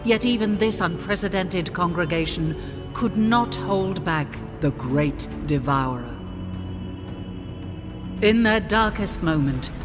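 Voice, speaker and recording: Solemn woman narrator for grim sci-fi war game, slow n portentous, big reverb, music under it. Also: lowish voice. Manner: grave, measured, portentous. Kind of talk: dramatic scripted cutscene narration. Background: dramatic music.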